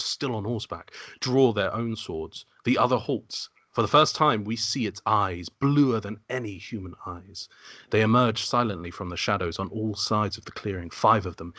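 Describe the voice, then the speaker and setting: Low, dry-sounding male script narrator. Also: pushed and bright, no echo. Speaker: narrator reading stage directions. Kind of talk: scripted narration in amateur table read.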